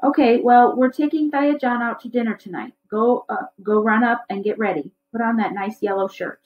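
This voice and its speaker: A woman's voice: fairly high pitch, normal speed, lo-fi sound, natural voice. A woman voicing a caring, probing mother in a storybook reading. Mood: brisk. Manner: questioning, concerned.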